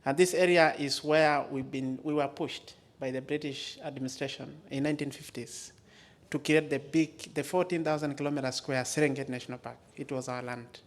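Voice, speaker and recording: A man with an East African accent and Maa as his first language gives a storytelling speech as a community speaker, in short, deliberate, emphatic phrases. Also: mid-range voice, fairly even intonation. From Maasai areas of Kenya and Tanzania.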